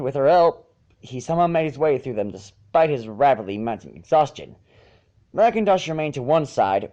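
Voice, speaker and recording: A man reading a story aloud as narrator, in an expressive storybook style. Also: mid-range voice, dull audio. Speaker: fan fiction narrator.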